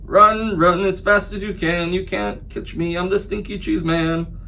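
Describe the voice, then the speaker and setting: A man doing a bragging, shouting cheese man. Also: sits high, fairly even intonation, unhurried, vocal fry, a little hiss or hum, pitched above the performer's own voice, full character voice. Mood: taunting. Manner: shouting, taunting singsong.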